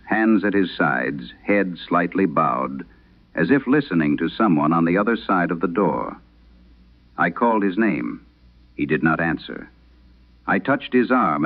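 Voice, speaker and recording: A man narrating as a troubled wife reading her haunted letter in a vintage horror radio drama, solemn and measured. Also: low voice, heavily acted. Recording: dull lo-fi vintage radio sound.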